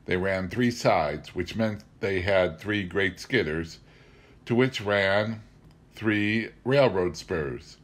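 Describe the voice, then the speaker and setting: A middle-aged man narrating a memoir as a scripted reading, with measured, deliberate phrasing. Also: fairly low pitch. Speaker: amateur audiobook reader.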